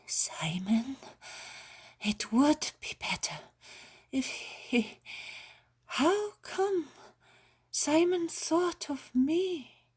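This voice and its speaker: A woman voicing a thin, grey-haired old woman who speaks in high, wavering, breathy cries. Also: sing-song, extremely slow, pauses often, full character voice. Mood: weak, doubtful. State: feverish and weak at first.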